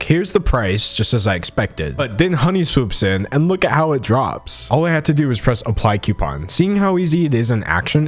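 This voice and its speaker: A man in his early twenties giving casual comedic commentary as an online video host, self-mocking, with dramatic pauses and emphasis. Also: lowish voice.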